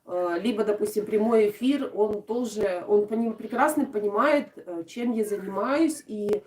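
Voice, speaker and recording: A woman from Odesa with a southern Ukrainian accent in her Russian, softening her g sounds. She is chatting casually on a craft live stream and answering her viewers' comments with lots of fillers. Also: mid-range voice, normal speed. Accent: southern Ukrainian Russian, soft g and "sho". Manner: chatty and warm toward viewers, with frequent laughs and fillers. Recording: roomy, from a distant mic.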